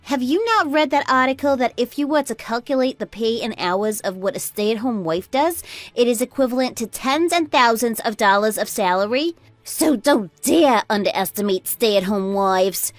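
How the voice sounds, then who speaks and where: A woman playing a smug, catty sister-in-law in a radio drama, preachy and boastful in an animated way. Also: mid-range voice, normal speed, no room sound, faint music behind, lightly acted. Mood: indignant. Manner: animated, preachy, boastful, swooping into indignant questions. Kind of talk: scripted radio drama dialogue.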